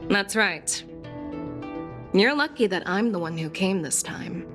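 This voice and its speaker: A woman's voice: mid-range voice, expressive, slow. A woman voicing a confident, candid female general in a video game, assured, formal and articulate. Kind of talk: scripted video game dialogue. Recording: game music behind the voice.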